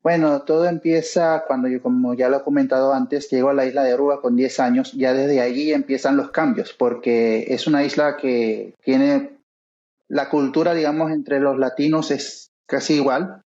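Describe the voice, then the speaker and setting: Halting man on a lo-fi call. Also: mid-range voice, fairly even intonation, dark tone. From Venezuela. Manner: halting, many fillers and pauses. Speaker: guest author. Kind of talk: interview conversation.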